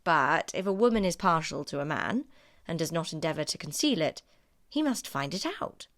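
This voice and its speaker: A woman's voice: sits low, animated, moderate pace, fairly bright tone, dry recording, studio quality, pitched below the performer's own voice. A woman voicing a spirited, principled young woman in an audiobook novel, speaking firmly and wryly. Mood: protesting. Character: Elizabeth, spirited, principled young woman defending her sister. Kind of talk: audiobook character dialogue.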